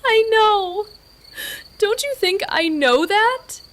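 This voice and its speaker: A woman playing a guilt-ridden young woman in an audio drama, speaking in an emotional, halting, confessional way. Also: very high voice, expressive, fairly bright tone, exaggerated voice. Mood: desperate, pleading. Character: Mags, guilt-ridden, anguished young woman, former best friend. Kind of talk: naturalistic audio drama acting.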